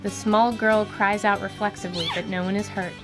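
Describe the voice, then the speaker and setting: A woman giving vivid, deliberate scripted narration for a fantasy radio drama. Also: mid-range voice, little pitch movement. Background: music.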